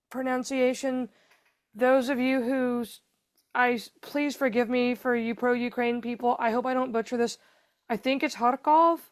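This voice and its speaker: Woman, fairly high voice, smooth and clear, rambling conversational storytelling. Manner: chatty, rambling storytelling with many fillers. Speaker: guest, foundation founder. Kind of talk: conversational podcast interview.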